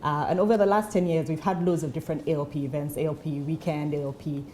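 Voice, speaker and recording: A woman's voice: low-pitched, fairly even intonation, fast, a little mellow, a little hiss or hum. A woman from Ghana, an education program founder, answering earnestly in a TV interview with frequent fillers.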